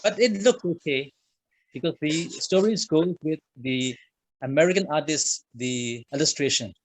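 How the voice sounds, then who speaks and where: A man from Myanmar with a Burmese accent, a guest author answering interview questions in a halting way with many fillers. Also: fairly high pitch, no echo, rough recording. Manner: halting, frequent uh fillers, simple phrasing.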